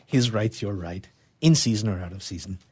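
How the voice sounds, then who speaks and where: A man, a radio theatre player, giving an expressive reading of verse. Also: fairly low pitch, normal speed, slightly rough.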